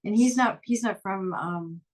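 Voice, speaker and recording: A woman's voice: medium pitch, a little slow. A woman musician chatting casually as an interview guest, upbeat and talkative with frequent restarts. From Lehigh Valley, Pennsylvania.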